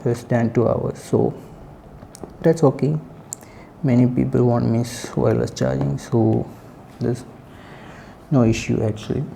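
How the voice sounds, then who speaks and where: Man, very dark muted tone, flat intonation, halting casual tech review, faint noise. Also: mid-range voice, vocal fry. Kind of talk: casual spoken product review.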